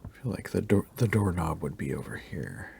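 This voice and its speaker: Low, gravelly man sketching and chatting. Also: very animated, normal speed, noisy background. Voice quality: raspy. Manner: soft-spoken, thinking aloud while sketching, sometimes half-whispered. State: fighting off a cold. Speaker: drawing tutorial host. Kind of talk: relaxed drawing tutorial commentary.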